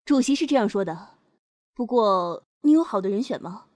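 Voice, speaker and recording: A young woman playing a composed high school student leader in an amateur audio drama. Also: lively intonation, normal speed, darkish tone, no room sound, studio quality, lightly acted. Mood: composed, curious.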